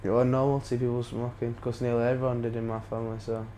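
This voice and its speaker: Teenage lad, mellow voice. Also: male voice, mid-range voice, flat delivery, fast talker, slight background noise. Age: teens. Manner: casual, hesitant with fillers. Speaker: interviewee. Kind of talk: casual interview.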